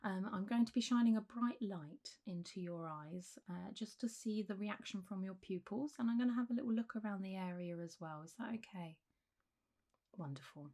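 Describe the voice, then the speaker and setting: Gentle, half-whispering woman as a clinic doctor. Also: mid-range voice, natural voice. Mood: calm, reassuring. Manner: gentle, reassuring, unhurried, drifting into whispers. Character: calm, reassuring female clinic doctor for zombie patients. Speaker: ASMR performer. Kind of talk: soft-spoken ASMR medical roleplay.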